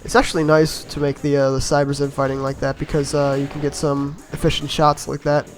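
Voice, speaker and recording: A man with a slightly raspy voice from being a bit sick, giving easygoing, rambling voice-over commentary on his own game run and laughing at his own mistakes. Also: a little high, monotone, a little fast, faint music behind. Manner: easygoing, rambling, self-mocking with chuckles. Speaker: gameplay commentator.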